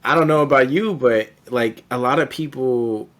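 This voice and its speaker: A young adult man hosting a podcast, his voice mid-pitched and ordinary for a man. He talks casually and playfully, bouncing through his points with jokey energy. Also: normal speed. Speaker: podcast host. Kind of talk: casual conversation.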